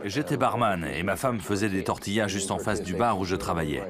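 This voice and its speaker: A man's voice: low voice, a little fast, natural voice. An actor dubbing an anxious middle-aged man who owns a struggling restaurant, speaking in an earnest, worried way. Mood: wistful. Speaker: restaurant owner. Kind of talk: dubbed reality TV confessional. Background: music bed.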